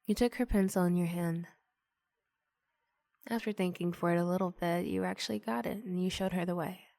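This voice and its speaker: A woman softly narrating an ASMR roleplay story in the second person, often close to a whisper. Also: lowish voice, fairly even intonation, normal speed, gravelly, no echo. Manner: soft, often near-whispered.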